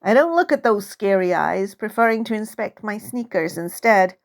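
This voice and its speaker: A woman's voice: mid-range voice, expressive, normal speed. A woman narrating a first-person story as its author, in a dramatic, suspenseful style. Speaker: author reading own novel.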